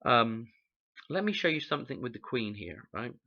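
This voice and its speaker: A man, croaky from a cold, rambling through a casual explanation and often checking in with "okay?". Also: mid-range voice, sing-song, moderate pace, lo-fi sound. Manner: rambling, musing, frequent "okay?" check-ins. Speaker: channel host explaining number codes.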